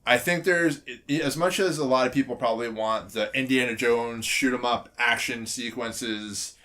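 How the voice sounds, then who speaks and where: A man with a medium-pitched voice hosts a relaxed group podcast. He keeps the discussion on track and teases his co-hosts in a conversational way. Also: normal speed. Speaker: podcast host. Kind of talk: casual group podcast chat.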